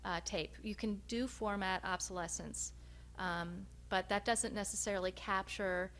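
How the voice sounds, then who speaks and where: A woman researcher giving a research presentation, speaking in a measured, hesitant way with frequent pauses. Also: mid-range voice, steady tone, a bit bright. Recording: noticeable background hum and noise.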